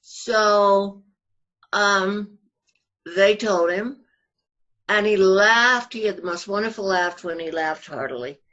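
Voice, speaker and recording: An older woman being interviewed, telling family anecdotes conversationally in a halting but animated, storytelling manner, on muffled audio. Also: medium pitch. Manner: halting, animated retelling with acted-out quotes.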